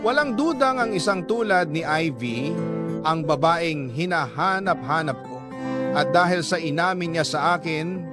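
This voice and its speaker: A man's voice: a little high, unhurried, close to an everyday voice. An actor with a Filipino accent playing a lovestruck single father, narrating his hopeful thoughts in a reflective, sentimental radio drama style. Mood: reflective, tender. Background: instrumental music.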